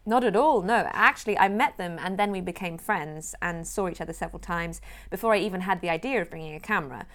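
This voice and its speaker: A woman film director who grew up in Europe, calmly explaining how she made her film in an interview. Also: lowish voice, quick pace. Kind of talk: interview answers.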